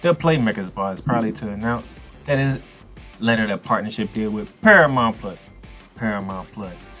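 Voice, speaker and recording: A man hosting a sports podcast, giving excitable football commentary in an announcer-like style with drawn-out, emphatic phrases. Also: lowish voice. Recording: echoey room, music underneath.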